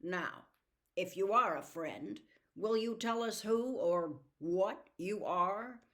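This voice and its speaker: A woman's voice: mid-range voice, slow, a bit bright. A woman voicing a determined athletic teenage boy in an audiobook, speaking in a forthright, challenging way. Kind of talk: audiobook character voice.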